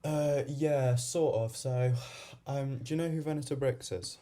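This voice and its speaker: A young Welsh man, a university student, telling a story as a guest in relaxed British slang. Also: medium pitch, measured pace. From Wales. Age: young adult. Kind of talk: casual chat.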